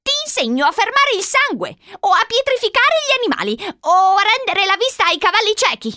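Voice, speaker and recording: A woman voicing a cranky old mountain witch, shrill and exclamatory, pleading and threatening in an audiobook story. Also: big pitch swings, brisk, bright, forceful tone, no room sound, studio quality, full character voice. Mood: coaxing. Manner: exclamatory, cajoling.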